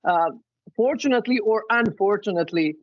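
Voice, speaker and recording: A man's voice: high-pitched, a little fast, dry recording, muffled recording. A man from Bosnia and Herzegovina, a former coach, talking earnestly on a webinar panel with many restarts.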